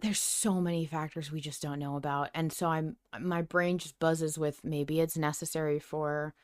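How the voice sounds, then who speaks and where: A woman's voice: low-pitched, steady tone, moderate pace. A woman co-hosting a casual podcast discussion, thinking aloud with emphatic speculation. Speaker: podcast co-host. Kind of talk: casual discussion and speculation about an audio drama.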